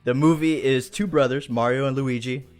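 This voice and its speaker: A man's voice: mid-range voice, steady tone, normal speed. Enthusiastic rambling man hosting, faint music behind. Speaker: show host. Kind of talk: casual livestream chat.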